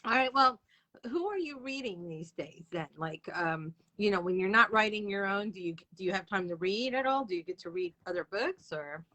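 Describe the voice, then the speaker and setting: A woman's voice: medium pitch, expressive, normal speed, bright, forceful tone. A woman in her forties hosting a casual interview, with an informal, reactive way of talking. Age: 40s.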